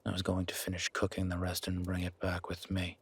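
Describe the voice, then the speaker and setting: A man playing a gruff, wary but grudgingly kind werewolf in a roleplay audio drama, with a raspy, growling, half-whispered voice and terse, brooding delivery. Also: sits low, completely flat delivery. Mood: gruff, softening. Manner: slow, brooding, terse commands.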